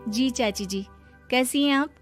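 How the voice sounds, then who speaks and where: Woman doing a young teacher who's sick of marriage talk, high voice, soft music. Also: a little slow. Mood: defensive. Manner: defensive, exasperated. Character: unmarried schoolteacher woman around thirty, defensive. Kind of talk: cartoon voice acting.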